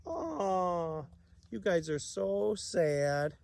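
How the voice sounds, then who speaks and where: A man reading a children's story aloud in a playful, expressive way, with chatty asides and exclamations. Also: high voice, very slow, creaky.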